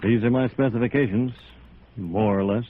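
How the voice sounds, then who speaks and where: An actor playing a wary, resigned witness who is afraid to speak up in a 1950s radio drama, hesitant and weary. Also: male voice, mid-range voice, moderate pace, natural voice. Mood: cautious. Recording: thin, narrow-band old radio recording.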